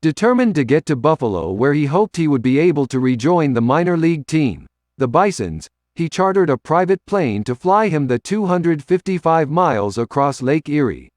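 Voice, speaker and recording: Dry-recorded American man, slightly high voice, narrating. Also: big pitch swings, moderate pace, darkish tone, dry recording. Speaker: narrator of local history program. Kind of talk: scripted documentary narration.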